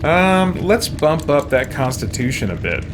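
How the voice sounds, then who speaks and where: A man's voice: on the high side, big pitch swings, measured pace, background noise. A man hosting a gaming video, thinking aloud with mild exclamations in casual commentary. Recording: loud music behind the voice.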